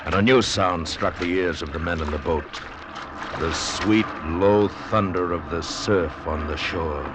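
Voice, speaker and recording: A man narrating an old-time radio drama as a grave, deliberate storyteller of the sea. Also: deep voice. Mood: solemn, measured. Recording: noisy old radio recording, sea sounds behind.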